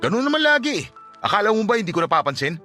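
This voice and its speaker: A man's voice: sits high, sing-song, very fast, bright, forceful tone, faint music behind, heavily acted. A man playing an irritable, accusing young boyfriend in a Filipino radio drama, curt, with a Filipino accent. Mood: hurt, irritated.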